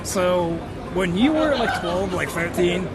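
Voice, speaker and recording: A man's voice: high-pitched, animated, takes it slowly. A man working as a livestreamer, chatting casually with jokey slang as he interviews people outdoors. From Japan. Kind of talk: casual street livestream chat. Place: outdoors on a Tokyo street. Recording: noisy crowded city street.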